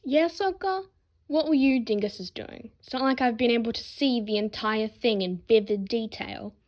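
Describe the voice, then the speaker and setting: A woman's voice: a little high, normal speed, close to an everyday voice. A woman voicing a brash, sarcastic, bossy girl with a blunt, mocking manner in an audiobook-style fan story. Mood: exasperated, mocking.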